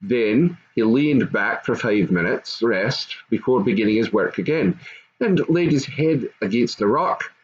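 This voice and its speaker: A man's voice: mid-range voice, animated, measured pace, lo-fi sound. An older man, a grandfather, reading a children's story aloud with expression. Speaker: storybook reader.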